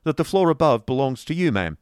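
A stern, blunt gentleman who speaks in hard, clipped, forceful lines, as a male audiobook reader plays him. His voice runs louder and higher than the reader's own. The sound stays close and dry. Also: very animated, fairly quick, clear, resonant tone, no room sound, natural voice. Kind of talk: audiobook character voice.

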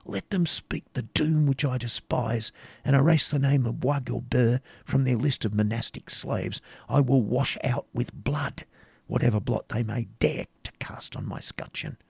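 A proud knight templar, voiced by a man reading aloud, urging and hissing in a raised, gravelly tone. He swings between hushed pleading and fierce declarations. The storybook reading is close and dry. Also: on the high side, big pitch swings, takes it slowly, no room sound, in a whisper, voice pushed up, heavily acted. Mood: urgent.

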